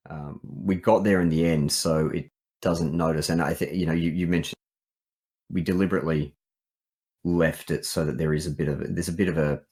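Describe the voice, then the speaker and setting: A man's voice: a bass voice, steady tone, brisk, a little mellow, slightly rough. An Australian man chatting casually as a game writer and developer, hesitant and thinking aloud. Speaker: visual novel writer and developer.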